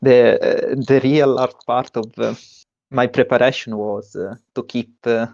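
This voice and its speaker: A young man with an Italian accent, a tournament winner giving a halting interview with many fillers and restarts. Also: medium pitch, fairly even intonation, gravelly, a little mellow, dry recording, dull audio. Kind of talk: post-win stream interview.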